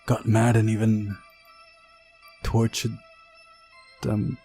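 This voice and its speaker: A man's voice: on the low side, monotone, drawn-out words, warm tone, faint music behind. A man voicing a mysterious, unhinged young camp pioneer in a visual novel, with a taunting, theatrical manner. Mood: intense, unhinged.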